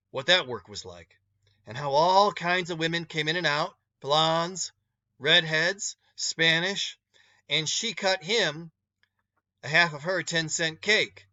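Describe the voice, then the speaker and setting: A man narrating a short story aloud expressively, with deliberate emphasis and dramatic pauses. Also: fairly high pitch, pushed and bright, no echo, muffled recording.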